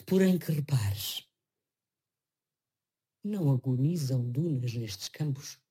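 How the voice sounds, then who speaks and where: An actress reading a poem aloud in a grave, measured recitation. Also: female voice, rumbling low, dark tone, slightly rough. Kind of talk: slow poetry recitation.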